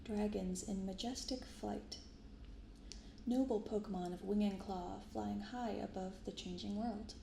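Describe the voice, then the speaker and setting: Soft-spoken woman, low creaky voice, roomy sound. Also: fairly even intonation, a little slow. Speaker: host of a card-opening channel. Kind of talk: soft-spoken ASMR narration, reading card text aloud. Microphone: binaural microphone. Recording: roomy sound with some background noise.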